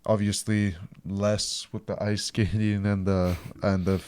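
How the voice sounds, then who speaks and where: Podcast guest: young man, lowish clear voice, halting delivery, dry room. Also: level tone, a little mellow, dry recording. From South Africa. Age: young adult. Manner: hesitant, many ums and restarts. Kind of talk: casual podcast chat.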